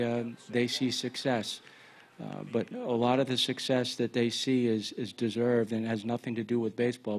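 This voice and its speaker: A man speaking as a sports coach in unscripted remarks, measured and earnest, in short repeated phrases. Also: mid-range voice. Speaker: college baseball head coach.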